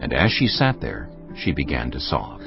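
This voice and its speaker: Very deep, expressive male scripture narrator. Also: normal speed. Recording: dull audio under clear music.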